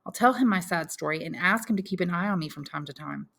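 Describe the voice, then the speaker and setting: A woman's voice: lowish voice, quick pace, gravelly, a bit bright. A woman voicing an earnest, resolute young man who firmly reassures his mother in an audiobook. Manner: firm, reassuring, insistent. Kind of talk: audiobook character dialogue.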